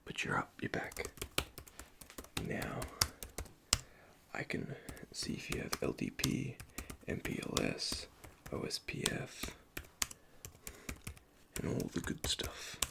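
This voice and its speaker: A man playing a nervous, caring android repair technician in an ASMR roleplay, speaking in a soft, breathy half-whisper with hesitant stammers. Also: takes it slowly, pauses often, natural voice. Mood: gentle explaining.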